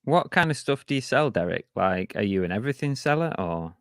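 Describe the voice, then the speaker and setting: A man hosting a podcast, chatting in a relaxed way with plenty of fillers. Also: medium pitch, a little fast, no echo, studio quality. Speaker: podcast host. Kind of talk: casual podcast chat with sponsor read.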